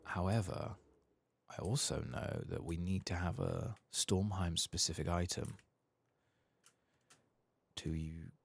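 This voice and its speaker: A soft-spoken man murmuring unhurried ASMR game commentary, often slipping into a whisper as he muses to himself. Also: low-pitched, expressive, creaky, husky. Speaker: gaming streamer, ASMR channel host.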